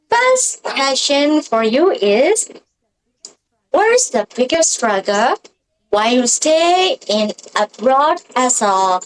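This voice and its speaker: A woman host, asking questions slowly and haltingly with many fillers over a video call. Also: high voice, a little hiss or hum, rough recording. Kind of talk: casual interview.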